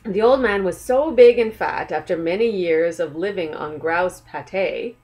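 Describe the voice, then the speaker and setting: A woman reads a children's story aloud at a very unhurried pace. Her voice sits slightly low, and her manner is gentle and inviting, as a storyteller speaking directly to young listeners.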